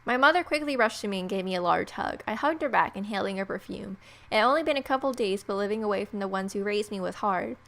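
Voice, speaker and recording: Woman narrating a visual novel, medium pitch. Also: quick pace, vocal fry, a bit bright. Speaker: gaming video host reading a visual novel. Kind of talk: story narration with playful asides.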